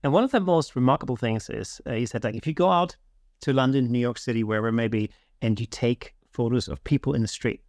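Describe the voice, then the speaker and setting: A man's voice: mid-range voice, fast talker, darkish tone, dry recording, studio quality. A middle-aged man hosting a podcast, talking casually and conversationally. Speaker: podcast host.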